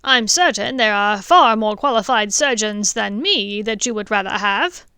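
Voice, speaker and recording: A woman's voice: medium pitch, expressive, normal speed, smooth, no room sound, studio quality. A woman reading as an eager, nervous male dentist. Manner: louder, eager and polite. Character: earnest, nervous male dentist, middle-aged.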